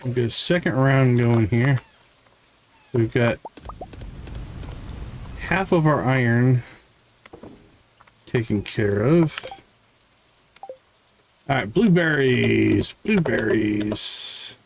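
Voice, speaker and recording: Slow-paced man streaming a game. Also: medium pitch, lively intonation, creaky, slight background noise, rough recording. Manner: thinking aloud in short fragments. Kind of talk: casual live gameplay commentary.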